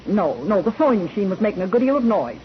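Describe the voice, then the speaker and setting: An actress playing an older, plain-spoken widowed landlady, giving measured testimony in a 1940s radio courtroom drama. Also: medium pitch, sing-song, lightly acted. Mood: tactful. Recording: muffled, noisy old 1940s radio recording.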